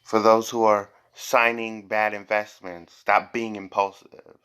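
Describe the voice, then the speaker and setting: A man's voice: on the low side, flat delivery, unhurried, a bit bright, clear, resonant tone. A man reading tarot cards for viewers in an informal, improvised way, blunt and emphatic, repeating phrases and swearing at times. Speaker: tarot and psychic reader hosting a reading.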